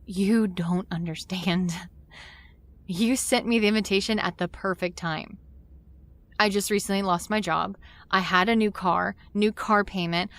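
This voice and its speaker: A woman's voice: medium pitch, fairly bright tone, close to an everyday voice. A woman plays a wide-eyed newcomer who is down on her luck in a sci-fi audio drama. Her mid-range voice is conversational and a little hesitant, full of wonder and curiosity.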